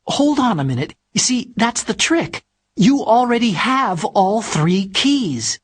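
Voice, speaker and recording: A man's voice: high-pitched, sing-song, slow pace, husky, dry recording, heavily acted. An actor, a man, playing a brainy, eager technical expert who gives precise explanations over the radio in a video game. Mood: eager explaining.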